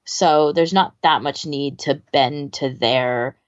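A woman's voice: low-pitched, takes it slowly. A woman co-hosting a podcast in a casual, conversational way, on slightly lo-fi audio. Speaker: podcast co-host. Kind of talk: casual chat.

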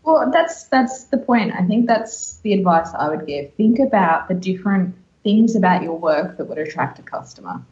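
A woman's voice: mid-range voice, very animated, moderate pace, hardly any pauses, darkish tone. A woman co-hosting a podcast, giving advice in a casual chatty way on slightly dull audio. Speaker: podcast co-host.

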